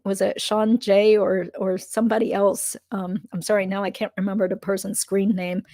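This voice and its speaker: Slightly croaky woman chatting on a livestream. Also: mid-range voice, mellow, no room sound, studio quality. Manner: chatty, thinks aloud with fillers and asides. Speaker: legal commentary livestream host. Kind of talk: casual live commentary.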